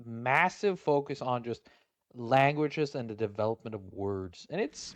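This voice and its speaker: A man's voice: medium pitch, measured pace, dry recording. A Norwegian man with a Norwegian accent, speaking English as a second language, chatting casually as a podcast host in a self-deprecating way and swearing freely. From Norway. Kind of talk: casual chat while drawing.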